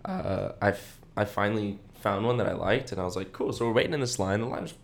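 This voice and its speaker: A man's voice: fairly low pitch, expressive, fast talker, gravelly. A young adult man co-hosting a podcast, telling personal stories in a casual, rambling way and acting out the quotes. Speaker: podcast co-host. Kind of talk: casual anecdote-telling chat.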